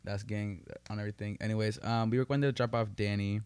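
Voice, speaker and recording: A man's voice: on the low side, steady tone, fast, a little mellow, clear, resonant tone, no room sound. A young man co-hosting a podcast, telling stories in casual, profane, joking slang. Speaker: podcast co-host. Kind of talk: casual banter and storytelling.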